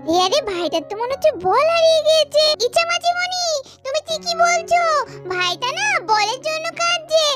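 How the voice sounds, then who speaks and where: A woman's voice: very high-pitched, very animated, moderate pace, heavily acted. A woman voicing an eager, caring little girl doll in a children's cartoon, childlike and exclamatory. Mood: eager, concerned. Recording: loud music behind the voice.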